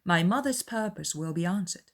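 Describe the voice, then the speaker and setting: A woman acting out a sensible young woman in a dramatized classic novel, composed and quietly pointed. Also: on the low side, animated, moderate pace.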